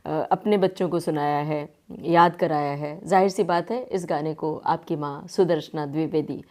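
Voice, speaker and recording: A woman's voice: low voice, fairly even intonation, normal speed, darkish tone. A woman hosting a conversational radio interview, asking questions in a courteous manner. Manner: courteous, frequent listening murmurs.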